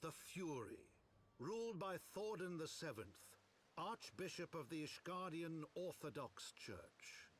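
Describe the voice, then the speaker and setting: An actor playing a solemn storyteller, narrating an epic fantasy game in a grand, measured manner. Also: male voice, on the high side, big pitch swings, a bit bright, slight background noise. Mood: grand, proud. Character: solemn male storyteller chronicling fantasy heroes.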